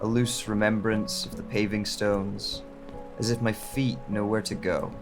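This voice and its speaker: A man playing a quiet, reflective wanderer with lost memory, narrating an audio drama in the first person in a measured, introspective way. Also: on the low side, flat delivery. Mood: pensive, searching. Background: music and rain.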